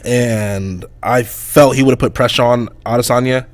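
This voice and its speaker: A man hosting a casual sports podcast, talking through a hoarse voice in a loose, rambling way with lots of fillers. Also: medium pitch, normal speed, dry recording. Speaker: podcast host.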